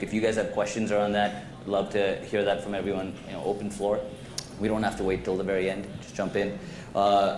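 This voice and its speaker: Casual low-voiced male moderator, echoey hall. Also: a little mellow. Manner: casual, many fillers and restarts. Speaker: panel moderator. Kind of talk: conference panel moderation. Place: conference hall stage. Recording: echoey hall, faint noise.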